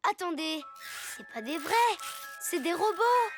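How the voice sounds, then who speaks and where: A woman's voice: very high-pitched, very animated, a little slow, heavily acted. A woman voicing an eager, headstrong young superhero girl in a children's cartoon dub, speaking in an emphatic, earnest way. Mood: upbeat. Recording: loud music bed behind.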